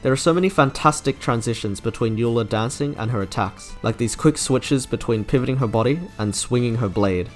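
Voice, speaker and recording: A man's voice: medium pitch, fairly quick, few pauses. Young man narrating over music. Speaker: video game reviewer. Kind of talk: scripted review commentary. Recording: background music under narration.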